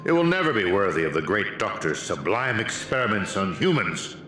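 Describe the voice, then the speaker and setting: A pompous game villain, lecturing like a smug scientist. His theatrical delivery swings widely in pitch, in a roomy space with music playing behind. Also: very animated, brisk, sharp tone. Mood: condescending. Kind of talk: theatrical video game voice acting.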